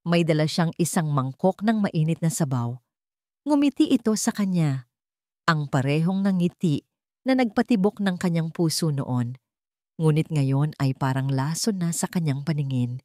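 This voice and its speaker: A woman with a Filipino accent reading a scripted love story in a measured, dramatic way, in short phrases. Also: low-pitched, expressive, a little mellow, no echo, studio quality.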